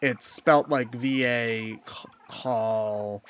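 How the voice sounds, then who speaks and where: A man's voice: medium pitch, steady tone, very slow, fairly bright tone, a little hiss or hum. A man giving casual video game commentary, talking in a hesitant, rambling way. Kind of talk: casual gameplay commentary chat.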